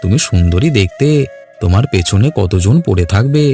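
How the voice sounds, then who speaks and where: A man's voice: low-pitched, animated, moderate pace, dark tone, soft background music. A man playing a brash, quarrelsome young villager in a cartoon, talking bluntly and goading in colloquial speech. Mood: teasing. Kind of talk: Bengali cartoon dialogue.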